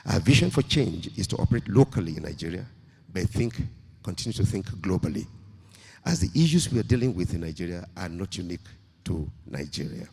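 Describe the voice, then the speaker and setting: Very deep gravelly man, formal speech. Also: very animated, warm tone. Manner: slow, measured and formal. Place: large event hall. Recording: long hall reverb.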